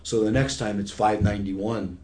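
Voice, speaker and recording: A man's voice: fairly low pitch, level tone, normal speed, a little mellow. A man in his sixties giving patient, conversational explanations with everyday examples, as an expert guest in an interview. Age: 60s.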